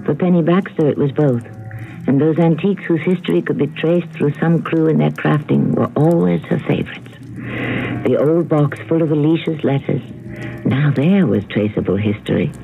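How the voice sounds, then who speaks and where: A man's voice: on the high side, normal speed, gravelly. A man narrating a radio mystery as scripted, dramatic storytelling. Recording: dull old radio broadcast, faint music.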